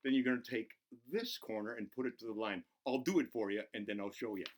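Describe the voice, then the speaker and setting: A man voicing an excitable, goofy buzzard who hosts a children's show, playful and giggly as he talks to himself and to the kids. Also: fairly low pitch, fast talker, natural voice. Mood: playful, encouraging. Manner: giggly, self-interrupting, talks to himself in third person. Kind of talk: playful craft demonstration for children.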